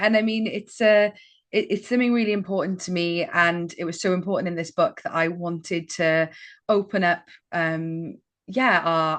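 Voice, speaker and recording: Welsh woman, low-pitched, chatty. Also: a bit bright. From Wales. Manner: enthusiastic, lots of fillers and small laughs. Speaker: author guest. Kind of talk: casual interview chat.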